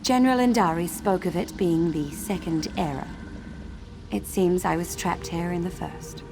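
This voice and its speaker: An actress playing a long-imprisoned, lonely female spirit in a fantasy game, speaking formally and with measured, archaic dignity. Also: fairly low pitch, gravelly, noisy background. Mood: solemn, wistful. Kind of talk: dramatic fantasy game voice acting. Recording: music behind the voice. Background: game music.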